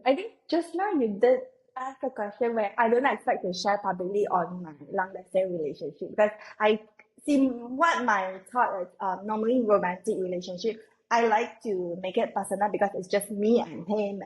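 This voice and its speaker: A woman's voice: medium pitch, normal speed, poor audio. A young woman from Malaysia, a podcast guest, talking reflectively in a casual, rambling way with frequent fillers. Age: young adult. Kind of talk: casual conversational interview.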